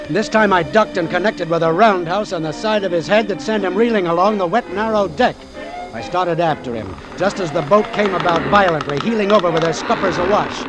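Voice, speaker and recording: Animated hard-boiled doctor narrator. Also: male voice, high voice, rough recording. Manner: terse, dramatic, sardonic. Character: hard-boiled, wry male doctor telling his ordeal. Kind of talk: first-person narration in vintage radio drama.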